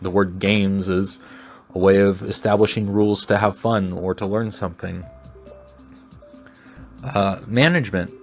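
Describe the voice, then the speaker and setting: Low monotone guy doing a solo podcast, thinks out loud, faint music behind. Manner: reflective, rambling with restarts. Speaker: podcast host.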